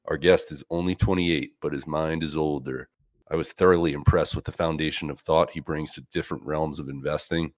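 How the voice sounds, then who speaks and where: A man's voice: extremely low pitch, fairly even intonation, a little fast. A man in his forties hosting a podcast, upbeat and enthusiastic as he introduces and questions his guest. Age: 40s. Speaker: podcast host.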